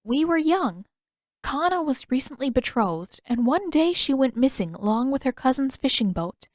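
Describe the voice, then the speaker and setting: A woman voicing a gentle, wistful elderly female healer in an audiobook, with measured, formal, reflective phrasing. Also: high voice, sing-song, dry recording, studio quality, voice pushed up. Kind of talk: audiobook character dialogue.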